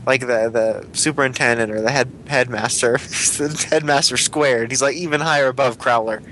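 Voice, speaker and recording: A man's voice: mid-range voice, steady tone, normal speed, a bit bright, a little hiss or hum, poor audio. A man co-hosting a podcast, chatting casually about an episode, laughing often and mocking characters. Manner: laughing, mocking, lots of silly quoted voices. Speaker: podcast co-host. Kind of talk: casual chat reviewing an anime episode.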